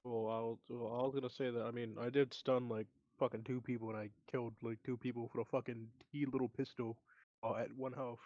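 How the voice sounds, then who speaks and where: A man's voice: medium pitch, level tone, brisk, a little mellow, no room sound, muffled recording. A man playing a tabletop game, chatting casually and swearing freely. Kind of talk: casual chat.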